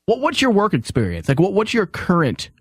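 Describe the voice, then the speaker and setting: A middle-aged man hosting a talk show with casual comic banter and animated, incredulous questioning. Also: high voice, brisk, husky, dry recording, studio quality.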